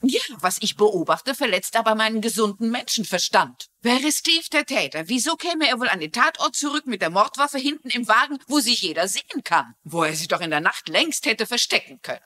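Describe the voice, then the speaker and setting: An actress playing a shrewd, polite and persistent middle-aged crime writer in a dubbed TV mystery. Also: female voice, on the high side, big pitch swings, brisk, hardly any pauses, pushed and bright, exaggerated voice. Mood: indignant. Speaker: amateur sleuth.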